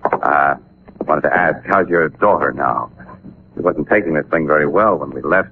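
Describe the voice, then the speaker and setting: An actor playing a sharp, persistent newspaper photographer sleuth in a 1940s radio drama, talking in a casual, clipped, probing way. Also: male voice, rumbling low, normal speed. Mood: casual, determined. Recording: muffled, noisy 1940s radio recording.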